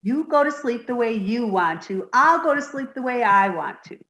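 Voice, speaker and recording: A woman's voice: on the high side, lively intonation, moderate pace, fairly bright tone, reverberant. A woman voicing a childlike, dim, easily frightened young woman in a radio comedy. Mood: naive, earnest. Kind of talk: 1950s radio sitcom reading.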